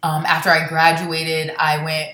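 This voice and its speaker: Low-voiced chatty woman comedian, echoey room. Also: completely flat delivery, sharp tone. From Florida, born in Baltimore. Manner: rambling, self-deprecating, quick asides. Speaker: stand-up comedian, guest. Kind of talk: casual interview chat.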